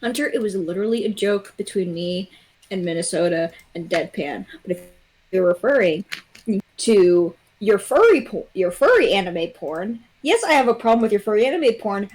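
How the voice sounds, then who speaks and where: A woman's voice: mid-range voice, lively intonation, normal speed, a little mellow, rough recording. A young adult woman chatting casually as a livestream guest, blunt and freely swearing. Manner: blunt, profane. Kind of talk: casual chat.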